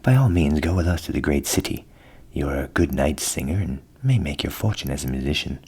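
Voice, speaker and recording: A man voicing a friendly, persuasive old donkey from a storybook, genial and encouraging in a low, creaky half-whisper. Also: lively intonation, brisk, a little mellow, full character voice. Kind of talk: storybook character voice in ASMR reading.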